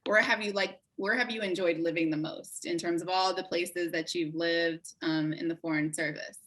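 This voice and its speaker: A woman interviewing a guest, encouraging and quick with affirmations. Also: lowish voice, steady tone, a bit bright. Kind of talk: interview host.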